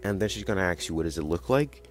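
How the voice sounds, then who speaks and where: A man's voice: deep voice, expressive, fast. A man giving a casual, chatty game walkthrough, talking informally to his viewers. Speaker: game guide video creator. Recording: music behind the voice. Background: game music and dialogue.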